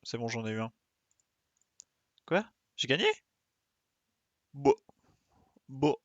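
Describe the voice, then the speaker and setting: A man's voice: medium pitch, big pitch swings, takes it slowly, dry recording, highs cut off. A man giving casual live gameplay commentary, thinking aloud and muttering to himself with small playful sound effects. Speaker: game streamer.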